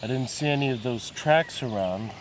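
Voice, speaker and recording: An actor playing a haunted, fatalistic young Civil War veteran in a western horror film, with an intense, ominous delivery. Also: male voice, mid-range voice, moderate pace, close to an everyday voice. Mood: bitter. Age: young adult. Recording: noisy field recording with insects.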